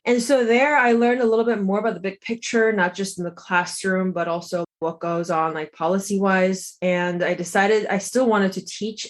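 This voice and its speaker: A woman in her thirties who grew up in South Korea answers interview questions about her path into law. She talks in a reflective, chatty way, full of "like" and small restarts. Her voice sits a little low for a woman. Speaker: guest, lawyer and health coach.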